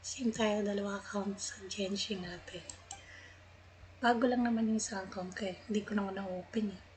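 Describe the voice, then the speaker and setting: A woman's voice: medium pitch, fairly even intonation, fast, a little mellow, a little hiss or hum, rough recording. A woman with a Filipino accent chatting casually on a live game stream, switching between two languages. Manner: mixes two languages mid-sentence. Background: game music and dialogue.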